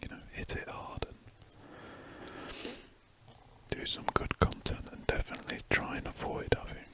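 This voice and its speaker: A man whispering throughout as he chats casually while drawing, an ASMR host who rambles and corrects himself mid-sentence. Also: a little slow. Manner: soft unvoiced whisper, rambling and self-correcting.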